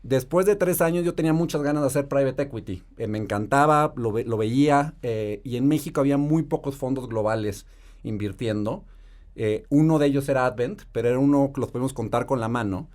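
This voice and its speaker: A Mexican man, probably in his thirties, a private equity investor telling his career story in a measured, articulate way. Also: mid-range voice, a little mellow. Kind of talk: podcast interview storytelling.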